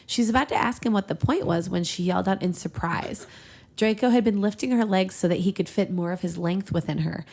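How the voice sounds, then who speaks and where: A young woman reads fan fiction aloud as a podcast host. Her voice has plenty of creak and sits at an average pitch, and she drops in casual asides. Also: a little fast, a little mellow.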